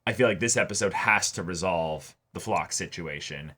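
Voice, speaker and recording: Bright-voiced man, casual show co-host. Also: low-pitched, moderate pace, slightly rough. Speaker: co-host of an anime reaction show. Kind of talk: casual animated discussion, at times reading viewer comments aloud.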